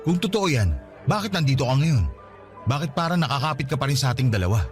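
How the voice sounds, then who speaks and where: Man acting fast, animated, pleading ex-boyfriend. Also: medium pitch, natural voice. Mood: insistent, longing. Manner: pleading, earnest. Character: Dom, remorseful young ex-boyfriend, pleading and persistent. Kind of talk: Tagalog radio romance drama acting. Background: background music.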